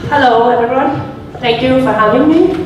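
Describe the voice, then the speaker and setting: A woman's voice: on the high side, moderate pace, heavy reverb, distant mic, highs cut off, background noise. A woman from Denmark with a Danish accent and a cold, speaking English hesitantly as a guest speaker to a class. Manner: hesitant, searching for words. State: has a cold. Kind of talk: classroom presentation.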